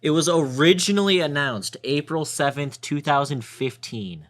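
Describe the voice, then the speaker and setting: A man's voice: a little high, moderate pace, a bit bright. A young man in his late teens bantering casually as a member of a group chat. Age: teens, nearly eighteen. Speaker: panel member.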